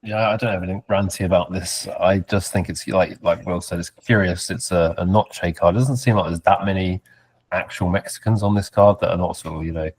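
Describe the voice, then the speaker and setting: A man with a very low voice co-hosts a podcast in casual chat. He talks in a measured way and hedges his points. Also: steady tone, darkish tone, slightly rough. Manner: measured, hedging. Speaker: podcast co-host.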